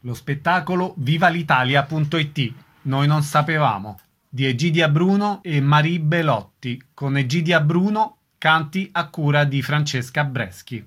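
A man's voice: fairly high pitch, expressive, unhurried, a bit bright. A man reading a scripted announcement as a radio announcer.

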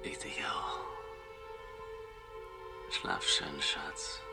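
An actor playing a blunt, short-tempered crook with a soft spot for his sweetheart, in a radio drama. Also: extremely slow, whispered, loud music behind the voice. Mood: hushed, tender, calculating. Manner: blunt, snappish.

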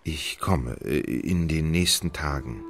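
An actor playing a dreamy, hesitant gentleman in love in a radio play, with a husky voice. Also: very deep voice, slow, soft background music, heavily acted. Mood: polite, hesitant. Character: dreamy, hesitant, lovestruck gentleman who puts things off.

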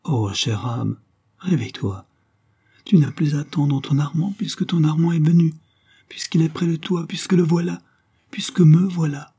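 An anguished nobleman pleading with the woman he loves, performed by a male reader. His voice climbs high and has a husky, breathy edge. The delivery is impassioned and melodramatic, as in an old romantic novel. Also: animated, moderate pace, warm tone. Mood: tender pleading. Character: ardent, anguished count pleading with his beloved wife.

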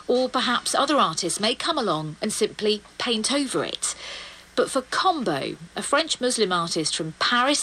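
A woman's voice: fairly high pitch, a bit bright, low-quality recording. A woman presenting a radio arts programme in scripted broadcast narration.

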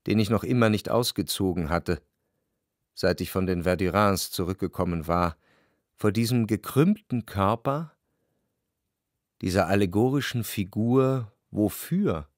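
An actor playing a wealthy, brooding man in love who narrates his memories in a measured, reflective way for a radio play. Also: on the low side, expressive, no echo, clean studio sound. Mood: solemn, melancholy. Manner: measured, reflective, deliberate phrasing. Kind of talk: literary radio drama narration.

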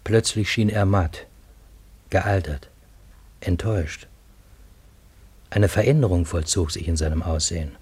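An actor narrating a radio drama as a troubled Parisian man, with a husky voice and measured, literary, dramatic delivery. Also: low-pitched, a little mellow, no echo, full character voice. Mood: uneasy, astonished. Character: troubled Parisian man recounting his fantastic time-loop adventure.

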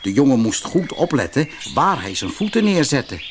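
A man narrating a fairy tale for children as an expressive storyteller. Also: medium pitch, moderate pace, slight background noise.

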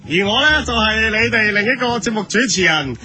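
A man's voice: sits high, lively intonation, a little fast, bright, forceful tone, full character voice. A man voicing a spry, chatty seventy-year-old co-host of a parody TV lifestyle show in a radio comedy. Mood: jovial.

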